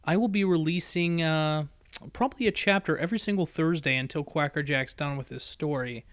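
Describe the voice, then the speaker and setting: A man's voice: fairly high pitch, a little fast, no room sound, clean studio sound. A man reading a story aloud as an audiobook narrator. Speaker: audiobook reader.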